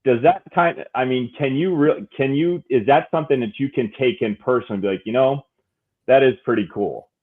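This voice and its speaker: A man's voice: mid-range voice, fairly quick. A man co-hosting a podcast, asking rambling, playful questions. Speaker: podcast co-host. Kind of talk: casual podcast chat. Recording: tinny phone-like line.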